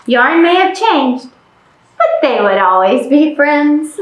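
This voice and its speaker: Female children's storyteller, high-pitched and highly animated, playful questioning delivery, roomy sound. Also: slow pace. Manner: exaggerated, playful, addresses young children with questions. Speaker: library storytime presenter. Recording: roomy, echoing room sound.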